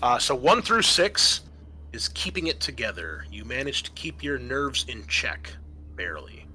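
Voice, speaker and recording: Bright-voiced man running a tabletop game. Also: mid-range voice, very animated, measured pace, poor audio. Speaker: game master. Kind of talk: explaining tabletop game rules casually. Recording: clear background noise.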